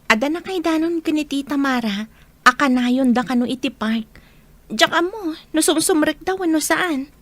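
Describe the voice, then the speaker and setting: A woman's voice: high-pitched, animated, moderate pace, dry recording. A woman playing an earnest, concerned young student who pleads sincerely in an amateur audio drama. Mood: worried.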